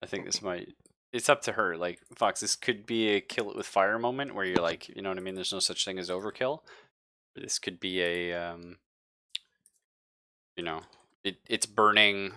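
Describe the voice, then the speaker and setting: A man running a tabletop roleplaying game as game master, narrating casually and haltingly with informal, sweary wording. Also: low voice, fairly even intonation, pushed and bright, dry recording.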